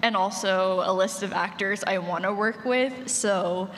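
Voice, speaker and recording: A woman's voice: mid-range voice, unhurried, fairly bright tone. A woman talking casually as a panelist about her own work. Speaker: panelist, casting creator. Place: large indoor convention panel room. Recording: reverberant noisy conference room.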